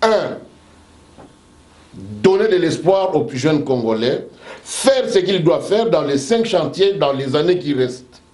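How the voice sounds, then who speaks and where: Male pastor, preaching cadence, slow emphatic delivery, roomy echo. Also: on the high side, expressive, a little mellow. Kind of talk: impassioned debate speech.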